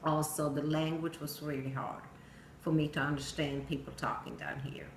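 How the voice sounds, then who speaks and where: A middle-aged woman from Sweden with a Swedish accent, telling a personal story in plain storytelling with pauses and some non-native grammar. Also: deep voice, flat delivery. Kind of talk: personal narrative speech. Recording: echoey room with faint noise.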